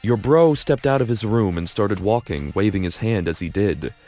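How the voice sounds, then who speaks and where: A man's voice: on the low side, animated, moderate pace, dark tone, soft background music. A man narrating a fan audio drama in the second person, casual and wry.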